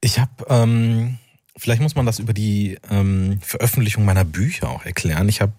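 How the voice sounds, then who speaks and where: Man from north rhine-westphalia, radio guest, halting and thoughtful, husky, dry studio. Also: on the low side, dark tone, dry recording. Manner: thoughtful, halting, with many fillers. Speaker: author and journalist.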